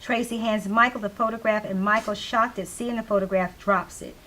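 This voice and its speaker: A woman's voice: medium pitch, fairly even intonation, a little fast, fairly bright tone. A woman reading stage directions as scripted narration for a play.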